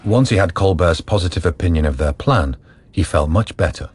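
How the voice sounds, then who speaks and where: A man's voice: deep voice, expressive, a little fast, slightly rough. A man narrating a scripted radio drama. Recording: prominent music behind narration.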